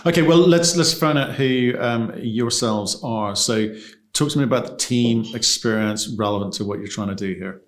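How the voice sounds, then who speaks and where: Relaxed male interview host. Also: medium pitch, normal speed. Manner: relaxed, conversational, repeats words. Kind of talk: casual business interview.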